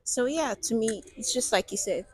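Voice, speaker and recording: A woman's voice: fairly high pitch, lively intonation, moderate pace, a little hiss or hum. A woman from Nigeria, a guest in a casual discussion, talking chattily with frequent fillers.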